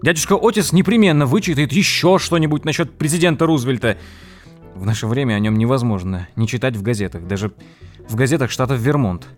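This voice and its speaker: An actor playing a nephew who tells his story in expressive, dramatised first-person narration for an audio drama. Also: mid-range voice, fast, soft background music, lightly acted. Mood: worried. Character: storytelling nephew recounting his odd uncle's troubles. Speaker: narrator and character.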